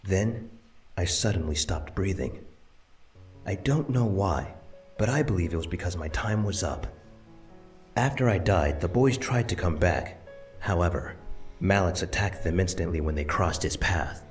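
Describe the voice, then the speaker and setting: A man voicing a gentle old grandfather spirit. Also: low voice, unhurried, soft background music. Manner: calm, tender, reassuring. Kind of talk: voice acting in a visual novel.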